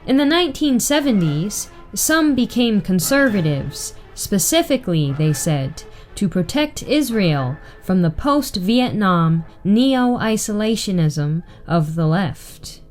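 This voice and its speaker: A woman reading a written political article aloud in a measured, deliberate way. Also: medium pitch, big pitch swings, darkish tone, soft background music. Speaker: reader.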